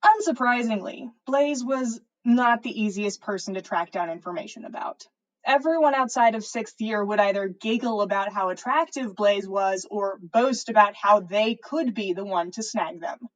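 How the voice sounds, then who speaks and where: A woman's voice: medium pitch, normal speed, low-quality recording. A woman reading a story aloud as a podcast host on a livestream. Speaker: podcast host reading listener fan fiction.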